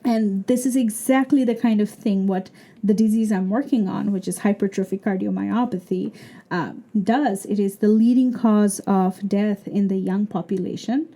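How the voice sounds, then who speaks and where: A woman's voice: medium pitch, normal speed, dark tone. A woman from Bangladesh with a Bangladeshi accent, a PhD student explaining her research thoughtfully with many fillers in an interview. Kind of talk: interview answer.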